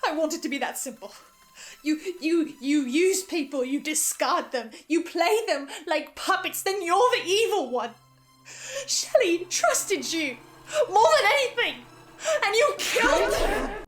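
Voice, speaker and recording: A woman playing a troubled, self-doubting broadcaster, delivering an intense, halting dramatic monologue in which she keeps correcting herself. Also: very high voice, animated, fairly bright tone, faint music behind, full character voice. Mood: anguished, accusing. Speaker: broadcaster reacting to a fiction podcast.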